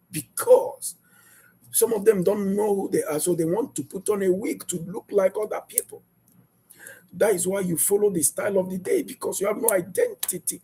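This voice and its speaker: Male preacher, sermon, mellow husky voice, emphatic rhetorical questions. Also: high voice.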